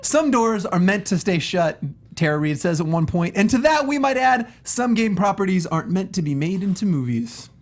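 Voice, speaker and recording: A man's voice: sits high, very animated, normal speed. Male review-show co-host, wry and slangy, given to exaggeration, casual banter.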